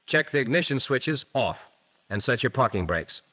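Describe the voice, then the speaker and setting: An American man narrating a scripted 1940s instructional film in a crisp, methodical manner, on a vintage film soundtrack. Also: mid-range voice, sing-song, moderate pace, bright, forceful tone.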